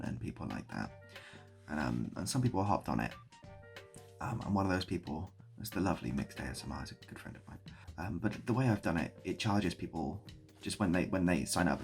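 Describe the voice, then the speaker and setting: A man talking casually to camera in a rambling, self-deprecating way with deadpan whimsy. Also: lowish voice, gravelly. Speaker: video creator and host. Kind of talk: casual improvised monologue to camera.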